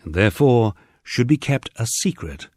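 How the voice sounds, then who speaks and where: A man with a husky voice reading a wry first-person memoir as scripted audiobook narration, dryly humorous and wordy. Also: mid-range voice, very animated, normal speed, very noisy.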